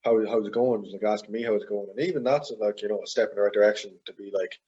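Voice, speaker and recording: Young guy in his twenties, low husky voice, loose rambling guest chat over a dull lo-fi line. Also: steady tone, quick pace, mellow. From Ireland. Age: 20s. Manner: rambling, heavy with fillers and hedges. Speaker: podcast guest. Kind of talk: casual interview chat.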